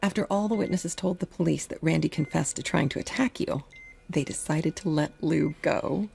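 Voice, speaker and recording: A woman playing a tender, worried mother in a horror audio drama, with a soft, husky voice. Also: low-pitched, normal speed, a little hiss or hum. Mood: reassuring.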